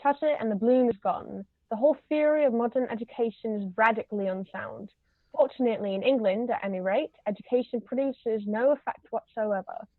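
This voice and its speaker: Imperious society matriarch played by a woman, high-pitched and pompous, stage comedy read-through, roomy muffled sound. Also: fairly quick. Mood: pompous, judging. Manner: pompous, formal, pronouncing judgments. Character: imperious, haughty older aristocratic English lady, snobbish interrogator. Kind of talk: amateur table reading of a stage comedy. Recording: roomy, muffled low-quality recording.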